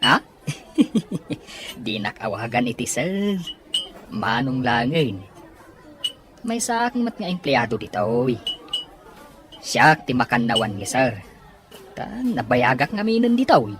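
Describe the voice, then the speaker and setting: A woman's voice: rumbling low, very animated, slow pace, pauses often, noisy background, exaggerated voice. A woman playing a chatty, obliging office underling in a serial drama, over music. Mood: chatty, curious. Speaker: actor in a Filipino serial drama. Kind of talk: acted drama dialogue. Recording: music behind the voice.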